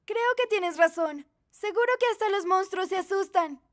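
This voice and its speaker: A woman's voice: extremely high pitch, normal speed. A woman voicing a cute, boastful but timid magic toy owl in a children's cartoon dub, childlike and perky.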